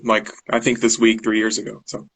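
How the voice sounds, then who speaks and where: A man, a guest in a casual online chat, relaxed and rambling with many fillers. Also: fairly low pitch, brisk, creaky, slight background noise. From United States. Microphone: video call.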